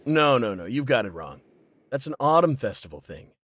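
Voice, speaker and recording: An actor playing a hot-headed, resentful pirate in an anime game, brash and scornful in delivery. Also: male voice, medium pitch, big pitch swings, moderate pace, fairly bright tone, no room sound, studio quality. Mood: puzzled banter. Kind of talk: English anime game voice acting.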